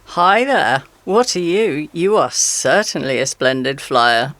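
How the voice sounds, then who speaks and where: An actor playing a cunning female fossa in a radio play, talking in drawn-out, honeyed flattery. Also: medium pitch, no echo. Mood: admiring.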